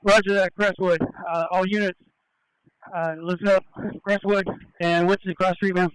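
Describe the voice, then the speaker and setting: A man's voice: sits high, flat delivery, moderate pace, clear, resonant tone, no echo, low-quality recording. A male police officer giving instructions over the radio, heard on a scanner.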